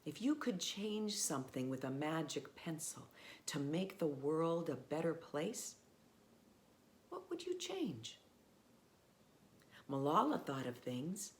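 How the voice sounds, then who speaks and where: A woman pastor, reading a picture book aloud to children in an expressive, simple way. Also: low voice, creaky, slight background noise. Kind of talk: storybook read-aloud, addressed to children.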